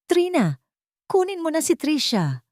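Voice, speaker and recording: A woman's voice: high voice, wildly swooping pitch, a little slow, darkish tone, dry recording, clean studio sound, voice pushed up, full character voice. A woman voicing an urgent, devoted male hero in a Tagalog story drama. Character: urgent, devoted man rescuing his family.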